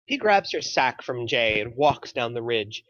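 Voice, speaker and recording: A narrator reads screenplay action lines at a middle pitch. The sound is close and dry, a little muffled, in a scripted table-read style. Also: sing-song, a little slow, fairly bright tone, dry recording. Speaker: narrator reading stage directions.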